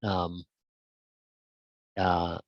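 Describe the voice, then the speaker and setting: A man's voice: low-pitched, flat delivery, drawn-out words, no room sound. A man answering questions in a casual webinar talk, hesitant with many ums and restarts, heard over a video call. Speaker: guest speaker on insurance. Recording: muffled lo-fi video call audio.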